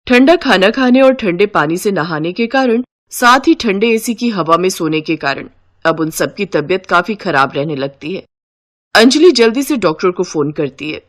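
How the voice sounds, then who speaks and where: A woman's voice: medium pitch, expressive, normal speed. A woman giving scripted storytelling narration for a moral-story cartoon.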